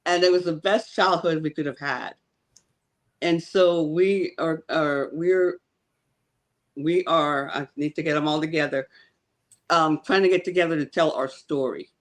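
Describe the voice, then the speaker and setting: A woman's voice: sits low, fairly even intonation, a little slow. A woman author from Cuba answering interview questions, hesitant with many ums and restarts. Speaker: author guest.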